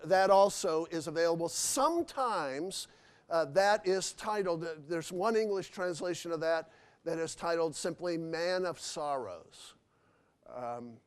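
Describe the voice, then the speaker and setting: A man's voice: a little high, clear, resonant tone. A man speaking as a lecturer at a question-and-answer session in a hotel convention hall, with many fillers and restarts.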